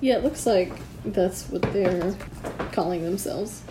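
A woman's voice: fairly low pitch, unhurried. A woman co-hosting a podcast, blunt and swearing freely, often repeating herself as she vents. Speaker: podcast co-host. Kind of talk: casual chat about wrestling. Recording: echoey room with faint background noise.

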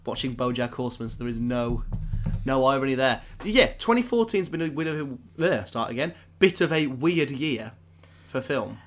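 A man with a cold hosting a casual film podcast, sounding hoarse and chatting with quick asides and restarts. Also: mid-range voice, animated, a bit bright, slight background noise. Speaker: podcast host.

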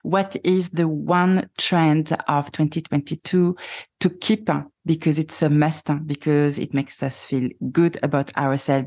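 A woman with a French accent, a native French speaker hosting an interview podcast in English, friendly and hesitant with many pauses to rephrase. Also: low voice, darkish tone. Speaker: podcast host. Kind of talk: interview conversation.

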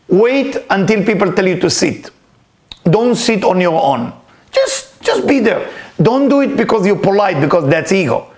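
A man with a fairly high voice talks through a spiritual text in an earnest, informal way. His first language is Hebrew, and he often repeats his points and poses questions aloud as he teaches. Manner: earnest, repetitive, asks himself questions aloud. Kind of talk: informal spiritual lesson, reading and explaining.

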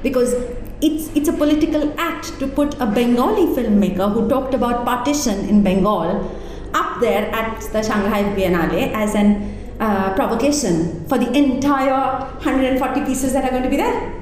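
Interview guest: woman from India, English not her first language, emphatic and lively, warm low timbre, heavy room echo. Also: moderate pace, slight background noise. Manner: animated and emphatic, thinking aloud with restarts. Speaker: interview guest, arts and culture figure. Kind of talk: conversational interview.

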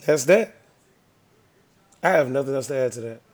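A man co-hosting a podcast in casual banter, playfully nosy and egging the others on, with a gravelly voice. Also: medium pitch, animated, moderate pace, darkish tone. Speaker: podcast co-host.

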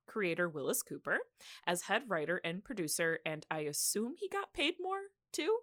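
A woman's voice: lowish voice, sing-song, moderate pace, fairly bright tone, no room sound. A woman co-hosting a podcast, telling radio history in a casual, giggly, conversational way. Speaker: podcast co-host.

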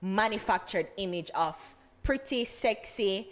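A woman's voice: medium pitch, expressive, unhurried. Opinionated woman co-host. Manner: opinionated, talks over others. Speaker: podcast co-host. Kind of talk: casual chat.

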